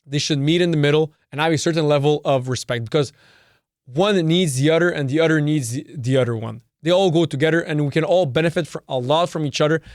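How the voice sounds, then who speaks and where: A man's voice: fairly high pitch, fast talker, dry recording, studio quality. Emphatic, swearing man. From Canada. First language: Serbian. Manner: emphatic, repetitive, swears freely. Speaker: podcast host. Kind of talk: solo opinion monologue.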